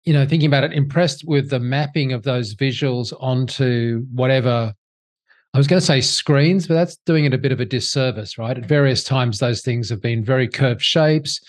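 A man co-hosting a podcast, asking questions eagerly with quick bursts of speech. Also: medium pitch. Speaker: co-host, interviewer. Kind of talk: conversational interview.

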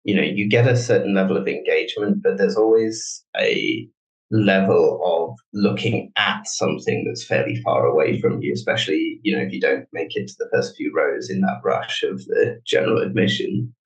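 A man, the guest, explaining his work in a relaxed, conversational way during an interview. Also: low voice, normal speed, echoey room, lo-fi sound. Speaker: guest, technology director.

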